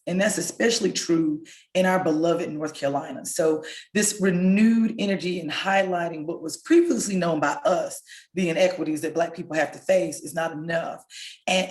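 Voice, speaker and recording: A hoarse woman, a local elected official, giving an impassioned, rallying speech over a video call. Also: lowish voice, a little mellow. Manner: impassioned, rallying, direct appeals to listeners. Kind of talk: rousing speech. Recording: echoey room sound.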